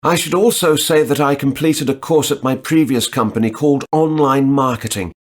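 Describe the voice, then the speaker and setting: A man acting as a relaxed job applicant in a textbook listening dialogue. He answers at a mid pitch and pauses to think aloud between answers. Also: lightly acted.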